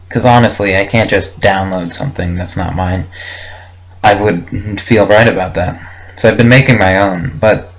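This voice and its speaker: A young man recording a casual, unscripted audio log, thinking aloud hesitantly and reflectively in short fragments. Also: low-pitched. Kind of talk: casual unscripted rambling monologue. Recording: muffled lo-fi with faint background noise.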